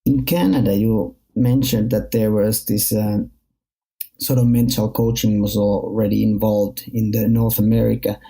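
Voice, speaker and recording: A man's voice: on the low side, steady tone, normal speed, gravelly, warm tone, low-quality recording. A man from Finland, speaking English as an as he conducts a conversational interview.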